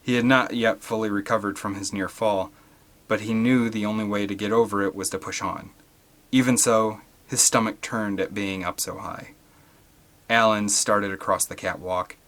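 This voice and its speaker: A man with a fairly low, bright and forceful voice narrates an adventure novel. He reads as a dramatic audiobook storyteller, from a prepared script. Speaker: audiobook reader.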